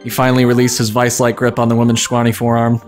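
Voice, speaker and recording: A man's voice: mid-range voice, monotone, fast talker, soft background music. A man reading a comic fan fiction story aloud as dramatic narration.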